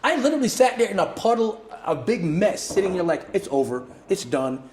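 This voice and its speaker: A middle-aged man from Jamaica tells stories in an interview, his voice sitting a little high for a man. He keeps acting out other people's lines in shifting voices and often restarts his sentences. The room around him sounds echoey. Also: very animated, brisk, darkish tone, a little hiss or hum. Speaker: martial arts instructor and guest. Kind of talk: animated storytelling in conversation.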